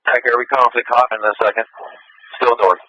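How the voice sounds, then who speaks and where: A man's voice: medium pitch, flat delivery, quick pace, sharp tone, dry recording. A man in a police unit calling out terse location updates during a pursuit over radio. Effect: police radio transmission. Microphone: police radio handset heard over scanner. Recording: dull narrow-band police scanner radio.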